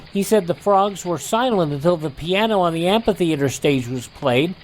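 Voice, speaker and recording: A man's voice: sits high, a little hiss or hum, low-quality recording. A man hosting a radio program, reading scripted news items and announcements as narration. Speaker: radio show host.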